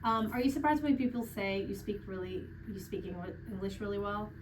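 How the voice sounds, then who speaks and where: Casting: female interviewer, medium pitch, chatty and encouraging, a bit far from the mic with faint noise. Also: brisk. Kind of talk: casual backstage interview. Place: green room, indoors. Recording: slightly distant mic, faint room noise.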